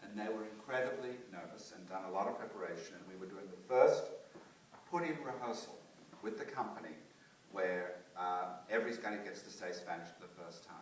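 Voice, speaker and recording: A man leading a post-show talkback in a theatre, animated and restarting his sentences often. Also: low-pitched, brisk, slight background noise. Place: theatre auditorium. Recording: echoey theatre, distant mic.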